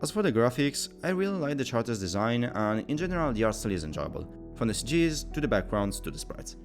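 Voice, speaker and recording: Lively, quick man reviewing games. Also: medium pitch. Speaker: video game reviewer. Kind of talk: scripted review narration. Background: background music.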